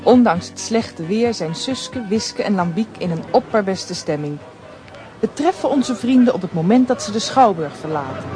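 A man narrating a children's radio play in an even storytelling voice. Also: sits high, moderate pace, soft background music.

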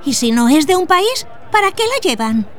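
A woman's voice: sits high, big pitch swings, normal speed. An actress playing a curious, innocent young daughter who questions her mother in a radio drama. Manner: inquisitive, childlike. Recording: busy outdoor street crowd behind.

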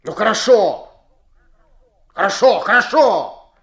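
An actor playing a stern, short-tempered garage boss in a radio play, with a gravelly bass voice and terse, halting delivery. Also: male voice, lively intonation, a bit bright, full character voice. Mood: flustered anger. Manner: terse, halting when agitated. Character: stern dry garage boss, easily losing his temper. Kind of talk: 1970s Soviet radio play acting.

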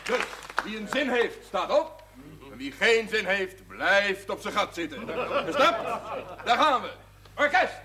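A man's voice: high-pitched, very animated, a little slow, a loud crowd. An actor playing a proud, jovial brewery man giving a declamatory, folksy speech to the workforce in a television drama. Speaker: actor in a Dutch television series. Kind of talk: celebratory speech to assembled brewery staff.

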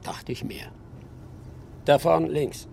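An actor playing a grim, laconic older tracker in an audio drama, creaky-voiced and drily sardonic. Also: male voice, on the high side, slow pace, a little mellow, slight background noise. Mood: dry, grim. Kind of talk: German audio drama acting.

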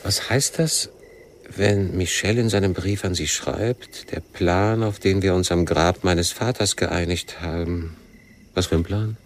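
An actor playing a world-weary, shrewd guerrilla captain who questions coolly and deliberately in a radio crime drama. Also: male voice, low voice, slight background noise. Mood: suspicious, pressing. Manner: measured interrogation with abrupt probing questions.

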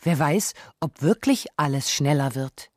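A woman reading a scripted radio documentary narration in a measured, reflective way. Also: low voice, animated, darkish tone, no room sound, clean studio sound.

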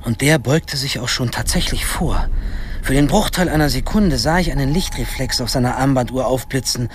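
A man's voice: medium pitch, normal speed, slight background noise, close to an everyday voice. An actor playing a teenage boy who narrates his misadventures in a radio drama, in a wry and dramatic storytelling manner. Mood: wry suspense.

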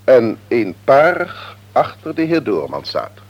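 An actor playing a stern, pompous company executive in a radio play, with officious, formal phrasing. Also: male voice, medium pitch, wildly swooping pitch, slow pace, full character voice. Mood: stern, reproving. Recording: old radio play recording.

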